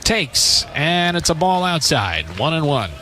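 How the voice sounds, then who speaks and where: A man doing live radio baseball play-by-play in clipped phrases mixed with measured description. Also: on the high side, very animated, pushed and bright, slight background noise. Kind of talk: live radio sports commentary.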